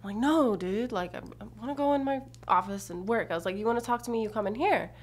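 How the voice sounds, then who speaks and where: Blunt, animated woman co-host. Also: fast talker. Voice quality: low for a woman, assertive. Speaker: podcast co-host. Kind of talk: casual chat.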